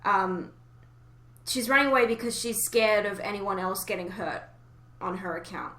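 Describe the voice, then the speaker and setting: Woman, bright voice, casual podcast chat, opinionated and emphatic, roomy echo. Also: mid-range voice, normal speed. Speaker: podcast co-host. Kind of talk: casual fan discussion. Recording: roomy, echoey voice recording.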